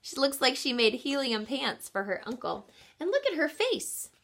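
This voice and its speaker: Animated, high-voiced woman reading to children. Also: moderate pace. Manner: encouraging, addresses children with questions and explanations. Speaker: reading teacher reading a picture book aloud. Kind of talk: expressive children's read-aloud with teaching asides.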